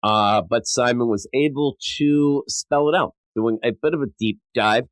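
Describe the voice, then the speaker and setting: A sick, stuffed-up man hosting a podcast in a rambling, self-mocking way, his voice a little rough and wavering. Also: medium pitch, a little slow, dry recording. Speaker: podcast host. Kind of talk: casual podcast monologue.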